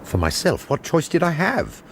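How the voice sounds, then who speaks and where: An actor playing a weary, put-upon art forger on the run in a radio drama, wry and sometimes hesitant. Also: male voice, a little high, wildly swooping pitch, moderate pace, gravelly, slight background noise, heavily acted. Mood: brooding. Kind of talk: radio drama acting and first-person narration.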